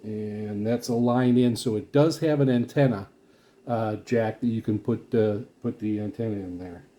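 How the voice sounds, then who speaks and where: A man giving casual, unscripted product commentary as a video host, rambling haltingly and often repeating and restarting his phrases. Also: lowish voice, fairly even intonation, mellow. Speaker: video host reviewing radio gear.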